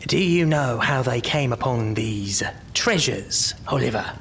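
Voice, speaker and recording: A wily old English leader of thieves in an audio drama. His voice is gravelly and he draws his words out slowly. He wheedles theatrically, swooping up and down as he coaxes. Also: mid-range voice, lively intonation, a bit bright, slight background noise. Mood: sly, coaxing. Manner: theatrical, ingratiating, drawn-out delivery.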